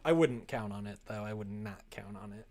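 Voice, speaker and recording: A man chatting casually on a livestream as he works at a computer, thinking out loud and joking as he goes. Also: on the low side, normal speed. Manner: musing aloud, joking, reads chat and drafts aloud. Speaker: streamer writing a visual novel. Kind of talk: casual livestream chat while writing. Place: indoors at a computer desk.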